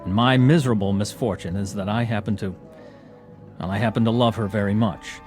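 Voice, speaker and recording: A man's voice: on the low side, fairly even intonation, fairly quick, darkish tone, faint music behind, natural voice. An actor playing a proud, wryly bitter clubman in an audio drama, speaking formally and theatrically. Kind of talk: modern audio drama acting.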